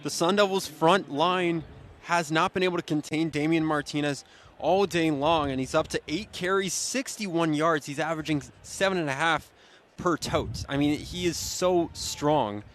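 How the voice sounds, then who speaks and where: A man's voice: on the high side, normal speed, a bit bright. An American man giving live color analysis between plays on a college football radio broadcast. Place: football stadium broadcast position. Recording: outdoor stadium background noise.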